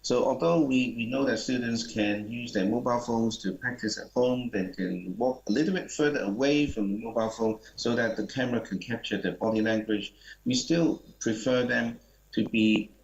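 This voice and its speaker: A man answering audience questions at a seminar in a polite, measured, explanatory way. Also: medium pitch, gravelly. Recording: muffled, dull sound.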